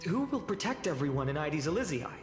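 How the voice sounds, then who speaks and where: A man's voice: a little high, big pitch swings, fast. A man playing an earnest, brave village boy hero in a fantasy game, with a thoughtful, formal way of talking. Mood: wistful, thoughtful. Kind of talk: dramatic fantasy game voice acting. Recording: echoing reverb, prominent music underneath.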